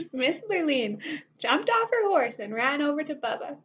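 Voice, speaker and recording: A woman reading a children's story aloud expressively, with playful asides to her listeners. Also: high voice. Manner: animated asides to young listeners. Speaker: storybook reader. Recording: dull sound with faint background noise.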